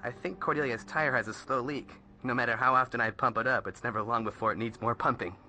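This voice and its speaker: A man's voice: medium pitch, fairly even intonation, quick pace, pushed and bright. A man playing a wisecracking, jealous young sidekick in a video game, with a casual, joking delivery. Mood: sardonic. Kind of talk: video game cutscene acting.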